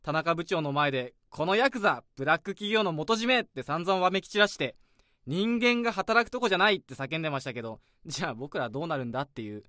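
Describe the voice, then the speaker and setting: A bright, griping young office worker. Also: male voice, on the high side, lively intonation, quick pace, no echo, clean studio sound. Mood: complaining. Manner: complaining, brash. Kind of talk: radio drama acting.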